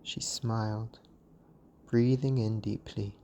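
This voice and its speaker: A bedtime storyteller with a dark, mellow voice, reading extremely slowly. The delivery is hushed, breathy and gentle, in soothing scripted narration, with faint noise and soft ambience behind it. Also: mid-range voice, steady tone, muffled recording.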